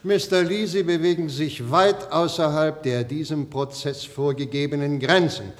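An actor playing a stern, formal judge in a radio drama, speaking in an admonishing, official way. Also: male voice, on the high side, steady tone, normal speed, natural voice. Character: stern, formal male judge presiding over trial. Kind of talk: radio drama courtroom dialogue. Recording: reverberant courtroom-like hall.

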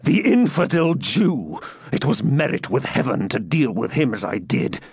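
A man's voice: mid-range voice, very animated, a little slow, creaky, darkish tone, husky, no room sound, full character voice. A man voicing a fierce, stubborn dying baron who growls out defiant speeches in an audiobook.

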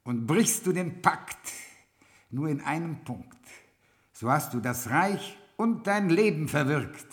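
A man's voice: on the high side, expressive, slow. A shrewd old troll king. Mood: lecturing. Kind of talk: classic radio play acting.

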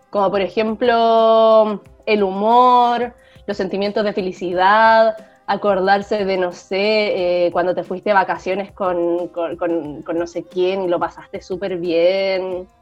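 A woman with a Chilean accent co-hosting a podcast, explaining things in a casual, chatty way. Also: mid-range voice. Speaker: podcast co-host. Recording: dull lo-fi audio, music underneath.

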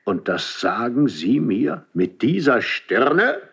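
A stern, suspicious king in a classical radio drama. His husky, rough-edged voice sits at a middle pitch and delivers formal verse with command and menace. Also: sing-song, takes it slowly, fairly bright tone, heavily acted. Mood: cold, guarded. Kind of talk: 1970s German radio play acting.

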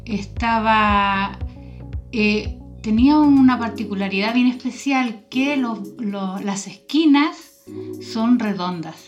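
Higher-voiced woman guest, slightly dull sound. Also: slow, soft background music. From Chile. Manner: explanatory, informal. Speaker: podcast guest. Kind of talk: casual chat, recounting a visit.

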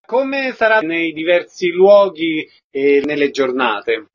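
A man presents and asks questions as a radio host. His voice sits a little high for a man. The sound is slightly dull, as on a modest radio recording. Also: slow. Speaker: radio host interviewing festival organisers.

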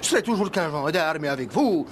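An actor playing an eager, deferential village police sergeant who stammers and pleads, in a comic French-language radio play from the 1960s. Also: male voice, on the high side, fairly quick, lightly acted. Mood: eager pleading. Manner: stammering, excitable, obsequious. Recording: noisy old radio recording.

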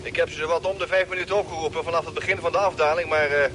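An actor playing an informal, mildly grumbling spaceship radio operator in a radio drama. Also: male voice, on the high side, fairly even intonation, rapid-fire, fairly bright tone, close to an everyday voice. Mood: matter-of-fact. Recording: old radio play, faint vehicle noise.

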